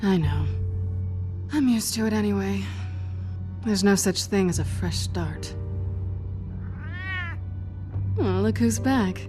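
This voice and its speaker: A woman's voice: mid-range voice, expressive, unhurried, natural voice. A woman playing a guarded, teasing, world-weary female thief with a wry, sardonic manner in a video game. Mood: wry, annoyed. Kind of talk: video game cutscene dialogue. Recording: music behind the voice.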